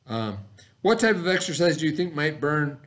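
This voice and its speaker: A middle-aged man with an American accent reads aloud to young pupils as their teacher. His voice sits fairly high and moves up and down in a lively way, with an expressive, instructional delivery. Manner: expressive, instructional, pauses to ask the listener questions. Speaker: elementary school teacher. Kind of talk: read-aloud lesson for children. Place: small indoor classroom, at a desk.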